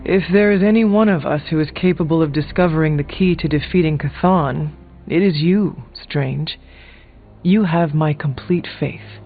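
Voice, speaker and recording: Game warrior hero, male actor: stern, resolute, blunt, formal diction, somewhat high male voice, narrow phone-like sound. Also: moderate pace, close to an everyday voice. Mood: solemn, earnest. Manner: formal, uncontracted speech, solemn, blunt. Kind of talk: video game character dialogue. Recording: thin phone-like audio, music behind.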